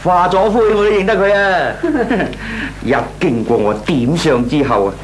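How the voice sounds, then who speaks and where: An actor playing a cocky, slangy young layabout nephew in a Cantonese radio comedy drama, scoffing and flippant, with a Hong Kong Cantonese accent. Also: male voice, fairly high pitch, natural voice. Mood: boastful. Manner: scoffing, flippant, drawn-out theatrical delivery. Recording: noisy old radio recording with hum.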